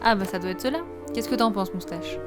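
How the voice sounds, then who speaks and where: A woman's voice: medium pitch, a little fast, close to an everyday voice. Actress as a curious young woman, music behind. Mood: helpful, playful. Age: young adult. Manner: casual, musing aloud. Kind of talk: audio fiction acting.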